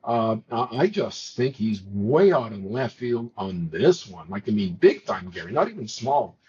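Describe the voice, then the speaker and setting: A man's voice: fairly low pitch, sing-song, a little slow, darkish tone, lo-fi sound, slight background noise. A man from Montreal whose first language was not English, talking politics on a talk show in an exasperated way, often stumbling and restarting his words. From Montreal, Quebec. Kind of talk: political commentary chat.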